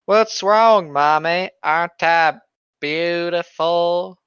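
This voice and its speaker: A man's voice: high voice, takes it slowly, a bit bright, clean tone, no room sound. Man acting unhinged teenage boy killer, horror storybook, creepy drawl on key words, dull dry recording. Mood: creepy pride. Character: deranged teenage boy killer, proud of his mutilated face.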